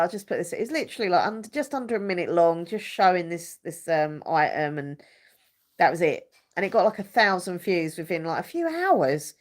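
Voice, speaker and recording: Girl with a stuffy nose, chatty live stream ramble, lots of ums, lowish voice. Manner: chatty and rambling, searches for words, many fillers. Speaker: YouTuber hosting a live chat. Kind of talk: casual rambling live chat.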